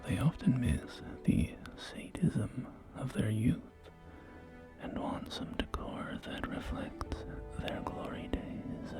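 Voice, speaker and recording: Slow, creaky whispering man playing a polite salesman. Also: mid-range voice, sing-song, full character voice. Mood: genteel, reassuring. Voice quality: soft, breathy whisper with creaky murmur. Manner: courteous, measured salesman patter, unhurried. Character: polite, unflappable veteran real estate agent of a post-apocalyptic wasteland. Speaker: ASMR roleplayer. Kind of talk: ASMR roleplay sales tour. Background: music.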